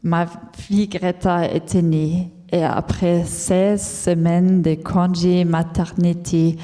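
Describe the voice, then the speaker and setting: A woman speaks in a language that is not her first, choosing her words slowly and stopping to restart. Her voice is low-pitched and mellow. The sound carries the long reverb of a large lecture hall. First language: not French. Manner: careful, halting, with many fillers and restarts. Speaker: entrepreneur giving a conference talk. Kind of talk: personal story told as a talk.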